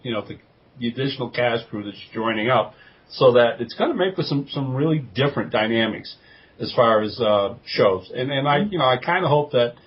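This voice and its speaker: Man, husky rough-edged voice, medium pitch, casual co-host banter, dull lo-fi audio. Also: animated, fairly quick. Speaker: podcast co-host. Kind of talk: casual podcast chat.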